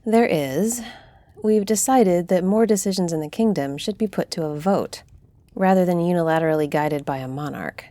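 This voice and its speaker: A woman's voice: fairly low pitch, normal speed, mellow, dry recording, lightly acted. A woman playing a solemn, fair-minded griffin-shifter council leader in a fantasy audio roleplay, speaking with formal authority. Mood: formal, official.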